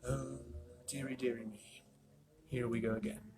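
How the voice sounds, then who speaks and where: A reader voicing a calm, weary eccentric chocolatier who murmurs softly and tries to soothe the others. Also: mid-range voice, moderate pace, background noise, natural voice.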